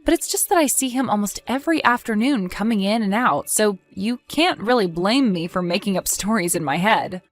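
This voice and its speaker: A woman's voice: medium pitch, animated, fairly quick, hardly any pauses, soft background music, natural voice. A woman playing a caring, cautious and practical wife, fussing conversationally in an audio drama. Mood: curious, casual.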